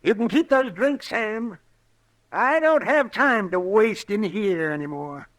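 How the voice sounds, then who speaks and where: A man's voice: high-pitched, expressive, unhurried, a bit bright. An American man acting an irascible, principled frontier town doctor in a crusty, emphatic Western radio drama style. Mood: grave, weary. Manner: crusty, emphatic, hesitating sputters. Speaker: actor.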